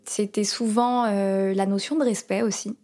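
A woman in her twenties answering interview questions, hesitant, with many pauses and fillers. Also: medium pitch, fairly even intonation, warm tone. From France. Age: 20s.

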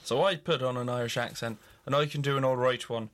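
A man's voice: mid-range voice, fairly even intonation, quick pace. Man telling jokey stories, casual chat. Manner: jokey storytelling. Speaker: podcast co-host.

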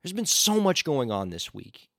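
A hoarse man with laryngitis hosts his podcast in a chatty, earnest monologue. His pitch rises and falls a lot as he talks. The sound is dry and close, with no room echo. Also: big pitch swings, moderate pace, fairly bright tone. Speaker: podcast host. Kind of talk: casual solo podcast talk.

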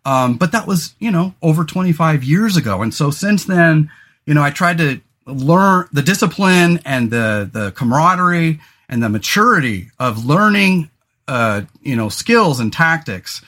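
A man in his forties talks through a casual podcast chat, his voice sitting a little high for a man. He rambles, doubles back on himself and fills gaps with "um" and "you know," dropping the odd curse along the way. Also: expressive, moderate pace, fairly bright tone. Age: 40s. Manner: rambling, many fillers and restarts, swears casually. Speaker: podcast host.

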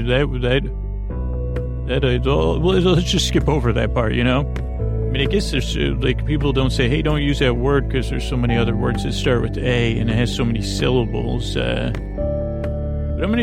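A man hosting a bedtime podcast in a creaky, lulling voice, rambling hesitantly and drifting off into tangents. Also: mid-range voice, darkish tone. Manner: hesitant, digressive, full of tangents and trailing thoughts. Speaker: podcast host. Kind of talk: rambling, meandering bedtime monologue.

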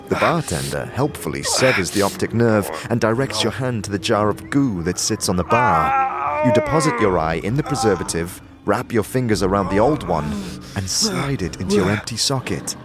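A man narrating a fantasy audio drama in a dramatic, scripted storytelling style. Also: lowish voice.

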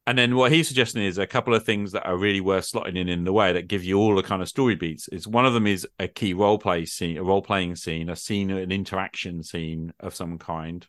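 A man's voice: deep voice, steady tone, a little fast, few pauses, a bit bright, dry recording, studio quality. A middle-aged man in a casual, extended discussion, explaining his thinking and often checking in with short tag questions. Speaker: podcast host and game master.

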